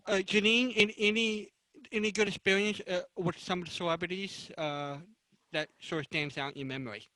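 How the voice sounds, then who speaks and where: A man moderating a panel informally on a video call, asking questions with halting, repeated phrases. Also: high voice, fairly even intonation, pushed and bright, no room sound, rough recording. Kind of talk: informal interviewing.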